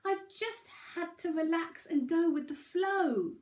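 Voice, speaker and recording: Woman doing a tiny, high-pitched, earnest little pebble. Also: slow pace, darkish tone, roomy sound. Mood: earnest, wonderstruck. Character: small, innocent, eager little pebble, wide-eyed and earnest. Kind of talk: children's storybook character voice.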